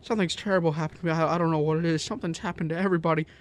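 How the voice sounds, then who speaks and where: A man's voice: a little high, steady tone, rapid-fire, lightly acted. An actor in a radio drama playing a distraught everyman husband and son, stammering and pleading urgently. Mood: frantic pleading.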